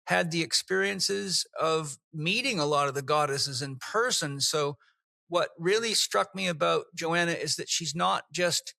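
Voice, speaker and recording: Enthusiastic bright-voiced male podcast host. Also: fairly high pitch, vocal fry, dry recording. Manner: enthusiastic, effusive. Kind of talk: podcast interview.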